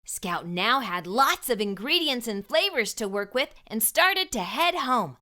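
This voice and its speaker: A woman reading a storybook aloud to young children, with expressive, emphatic delivery and deliberate phrasing. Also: a little high.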